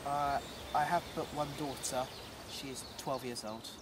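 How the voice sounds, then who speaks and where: A man's voice: medium pitch, steady tone, a little slow, natural voice. A man playing a humble, nervous peasant labourer in a fairy tale, hesitant and deferential. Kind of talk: fairy tale character acting. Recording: amateur recording with clear background noise.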